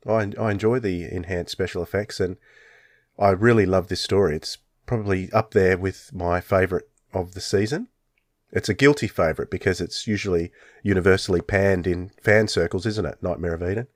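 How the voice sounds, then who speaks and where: A man's voice: low voice, a little fast, no room sound, studio quality. A man hosting a fan podcast in casual, enthusiastic chat. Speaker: podcast host.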